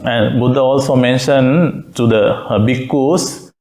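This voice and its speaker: A Buddhist monk from Sri Lanka giving a Dhamma talk, speaking in simple phrases and pausing often with "uh" between words. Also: male voice, mid-range voice, a little mellow, poor audio. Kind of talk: slow, halting Dhamma talk with many fillers. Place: meditation center room.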